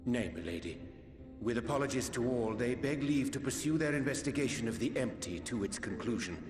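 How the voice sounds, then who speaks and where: An actor playing a calm, deferential scholarly gentleman in a fantasy game, speaking with ornate archaic formality. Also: mid-range voice, a little fast, lightly acted. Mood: polite, apologetic. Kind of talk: video game cutscene acting. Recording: echoey hall reverb under music. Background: music.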